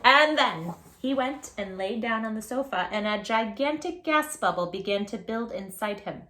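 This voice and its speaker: High-voiced woman reading a storybook, echoey room. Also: normal speed. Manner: animated, playful, talks directly to young listeners. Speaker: children's storytime host. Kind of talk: expressive picture-book read-aloud for children.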